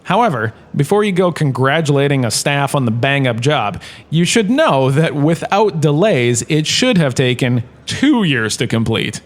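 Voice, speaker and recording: A man playing a jaunty, opinionated history professor in a comedic audio drama lecture, chatty and theatrical, with emphatic pauses. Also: on the high side, big pitch swings. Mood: wry lecturing. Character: washed-up actor turned jaunty, opinionated male history professor.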